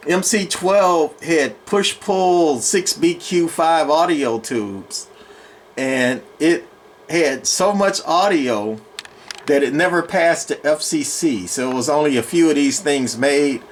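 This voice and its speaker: A man's voice: on the high side, animated, slow pace, slight background noise. A man giving a casual, unscripted show-and-tell as a hobby collector, rambling and hesitant with plenty of enthusiast jargon. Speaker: collector presenting his radio collection.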